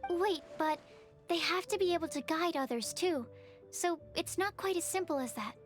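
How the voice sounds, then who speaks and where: A woman's voice: very high-pitched, moderate pace. A woman playing a polite, earnest, bookish young woman researcher in an anime video game, speaking carefully. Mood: thoughtful. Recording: background music.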